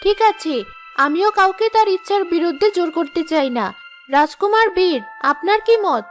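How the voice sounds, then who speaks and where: A woman's voice: extremely high pitch, lively intonation, moderate pace, soft background music. A woman voicing a haughty young princess in a fairy-tale cartoon. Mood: commanding.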